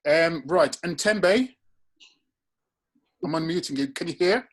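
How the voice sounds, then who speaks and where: A man moderating an online Q&A, reading out questions briskly and politely. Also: on the high side. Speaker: webinar moderator. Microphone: video call.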